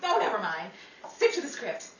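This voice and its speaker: A woman playing a chatty, quick-witted actress in a playful 1930s radio comedy. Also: medium pitch, wildly swooping pitch. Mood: wry, chatty. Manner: playful, wisecracking ad-libs. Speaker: host and lead actress. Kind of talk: 1930s radio comedy acting before an audience. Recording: roomy, distant, noisy live audience recording.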